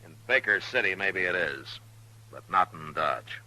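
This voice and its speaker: An actor playing a stern, steadfast frontier marshal, firm and plainspoken, in 1950s radio western style. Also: male voice, sits low, animated, measured pace, sharp tone, exaggerated voice, low-quality recording. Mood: stern warning.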